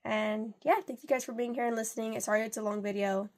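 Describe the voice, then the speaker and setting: A woman's voice: on the high side, fairly even intonation, quick pace, a little mellow. A young woman with a congested voice from a cold, chatting in a rambling way while counting out cash for her budget. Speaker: budgeting vlogger.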